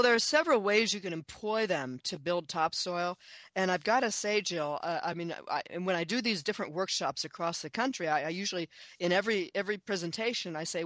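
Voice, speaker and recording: A woman's voice: on the low side, fairly bright tone, dry recording. A woman speaking as an expert guest in an interview, talking with enthusiasm and emphasis, repeating phrases and posing questions. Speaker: guest, author and chicken expert.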